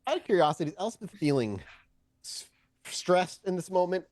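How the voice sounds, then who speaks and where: A man's voice: high voice, very animated, normal speed, a little mellow. A man working as game master, improvising narration for a tabletop game in a wordy way, searching for words as he goes.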